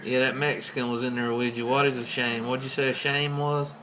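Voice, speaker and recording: An American man chats as a CB radio operator with an average-pitched voice. He talks in folksy, colloquial radio slang, and clear noise runs through the recording. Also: flat delivery, brisk. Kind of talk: casual CB radio chat with radio slang. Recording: clearly noisy CB radio setup.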